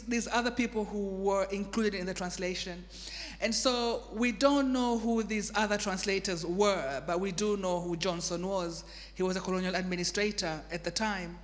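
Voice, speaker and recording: A woman from Zanzibar whose first language is Swahili, speaking English as a translator in a panel discussion, thoughtful and laughing easily, with many restarts. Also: mid-range voice. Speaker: literary translator. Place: festival stage in a reverberant hall. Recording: long hall reverb.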